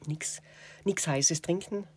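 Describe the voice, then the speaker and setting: Low-voiced Austrian woman presenter. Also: slow, slightly rough. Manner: thoughtful, many fillers and restarts. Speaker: radio and TV presenter, guest. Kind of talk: relaxed interview answers.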